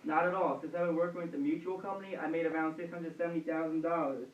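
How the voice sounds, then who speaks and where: A man's voice: fairly high pitch, fairly even intonation, quick pace, dull audio, a little hiss or hum, lightly acted. A young man playing a cheerful, self-assured 1920s film star in a scripted radio interview. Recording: echoey room, distant mic, dulled.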